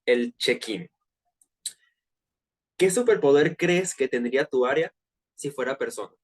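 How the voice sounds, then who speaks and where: A young Venezuelan man in his twenties talks through a personal finance session for fellow students. His voice sits at an ordinary middle pitch, and his delivery is loose and conversational, with plenty of hesitant fillers and casual slang. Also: a little slow. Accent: Venezuelan Spanish. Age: 20s. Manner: relaxed and chatty, with frequent filler hesitations and slang. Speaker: student presenter of a finance workshop. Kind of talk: casual online presentation to peers.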